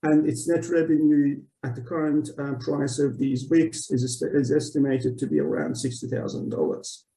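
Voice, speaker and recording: Dark-voiced, creaky man presenting from afar. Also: fairly high pitch, steady tone. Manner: halting, hesitant delivery. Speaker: webinar presenter. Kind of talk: technical presentation. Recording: roomy echo, distant microphone.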